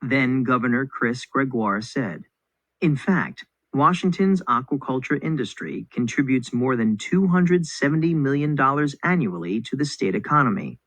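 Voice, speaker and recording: A man reads scripted educational material as a narrator, in a clear instructional manner. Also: medium pitch, lively intonation, moderate pace, a bit bright, no room sound. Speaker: narrator reading a textbook passage. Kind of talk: scripted educational read-aloud.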